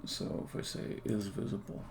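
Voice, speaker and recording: A man, a game developer, casually explaining his work on a live stream in a halting, think-aloud way. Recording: clear background noise.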